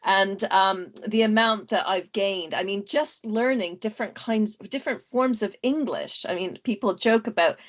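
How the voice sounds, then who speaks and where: A woman's voice: mid-range voice, normal speed, few pauses. A woman from Canada speaking as a panelist in a casual discussion, thoughtful, with frequent fillers and restarts.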